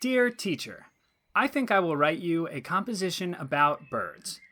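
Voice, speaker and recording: Earnest schoolboy with swooping delivery. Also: male voice, a little high, big pitch swings, bright, forceful tone, slight background noise, exaggerated voice. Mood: earnest, proud. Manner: declaiming, deliberate, with childish grammar. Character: earnest, naive schoolboy reading his composition aloud. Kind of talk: audio drama letter reading.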